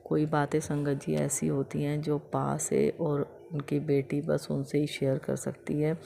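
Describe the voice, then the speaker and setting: Hoarse, gravelly-voiced devotional woman. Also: low-pitched, flat delivery, measured pace, mellow. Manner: emotional, repetitive, chant-like. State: hoarse throat. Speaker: devotee sharing a testimony. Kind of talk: devotional thanksgiving testimony.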